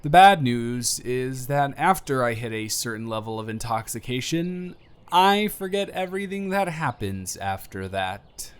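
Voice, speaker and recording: A man performs a nervous young man in an intimate audio drama, opening up to a close friend. His delivery is emotional and rambling, halting at times and then emphatic. The pitch is very animated, moving widely from phrase to phrase. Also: smooth, close to an everyday voice. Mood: anxious, joking. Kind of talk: intimate scripted audio roleplay.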